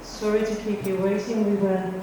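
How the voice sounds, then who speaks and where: A woman's voice: mid-range voice, flat delivery, normal speed, mellow, slight background noise. A middle-aged woman from Finland introducing herself on a conference panel, chatty and self-deprecating with many fillers. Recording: echoey hall, distant mic.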